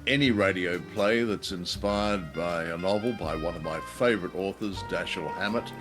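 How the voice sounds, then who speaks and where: A man's voice: low-pitched, normal speed, over music. A man speaking as co-host in a scripted show introduction. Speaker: podcast co-host.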